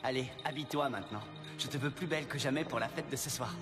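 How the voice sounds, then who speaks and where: An actor playing a devoted, earnest young prince in a cartoon film. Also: male voice, medium pitch, fast talker, over loud music, lightly acted. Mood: encouraging. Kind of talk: French cartoon film dub.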